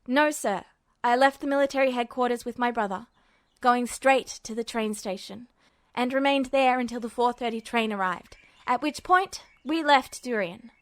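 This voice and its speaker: A woman's voice: on the high side, moderate pace, natural voice. A woman voicing a young male alchemist in a podfic reading, answering in a stiffly respectful way. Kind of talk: podfic reading of fan fiction.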